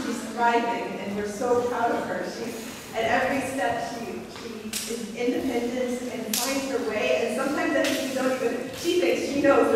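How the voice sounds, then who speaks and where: A woman's voice: fairly high pitch, far from the mic, noisy background. Heartfelt mother in an echoey hall. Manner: heartfelt, halting, with restarts. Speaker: mother of the bat mitzvah girl. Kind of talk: speech at a religious ceremony. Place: small synagogue hall with a seated congregation. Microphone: far room camera.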